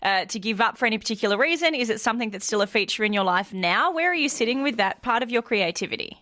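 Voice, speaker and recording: A woman's voice: fairly high pitch, lively intonation, quick pace, pushed and bright. A woman hosting a radio interview, asking questions in a candid, empathetic and sometimes blunt way. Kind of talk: conversational interview.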